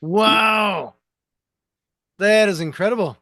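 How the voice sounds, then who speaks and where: A man's voice: high-pitched, takes it slowly, pushed and bright. A man hosting a business podcast, reacting to his guest with enthusiastic short comments and questions. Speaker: podcast host. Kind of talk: casual interview.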